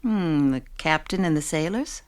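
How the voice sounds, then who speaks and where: A weary, long-suffering wife and mother played by a woman in a radio drama. She has a low voice and an earnest, subdued way of speaking. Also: lively intonation, normal speed. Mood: tired patience. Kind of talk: radio play acting.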